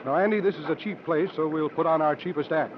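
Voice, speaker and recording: Man playing a high-pitched, wry travelling strongman. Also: fast talker, lightly acted. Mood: wry, watchful. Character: shrewd travelling strongman of thirty, burly showman uncle. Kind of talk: 1950s radio drama acting. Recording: dull, noisy old 1950s radio recording.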